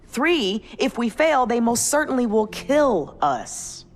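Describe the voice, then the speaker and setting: A woman's voice: on the high side, big pitch swings, slow. An actress playing a cautious young woman arguing against a risky plan, in a game cutscene. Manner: exasperated, arguing. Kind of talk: video game cutscene acting.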